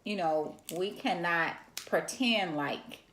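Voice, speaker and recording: A woman's voice: lowish voice, slow, fairly bright tone, a little hiss or hum. A middle-aged woman co-hosting a casual chat with her daughter, teasing and laughing often. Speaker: co-host, mother of co-host. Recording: echoey room.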